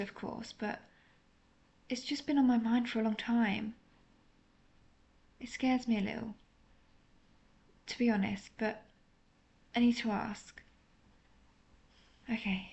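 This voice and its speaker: A woman playing a lovesick, apologetic female vampire in an intimate audio roleplay, speaking in a hesitant, confessional, softly melancholic way. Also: on the high side, steady tone, measured pace, creaky, long pauses, dull audio. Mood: vulnerable, melancholic.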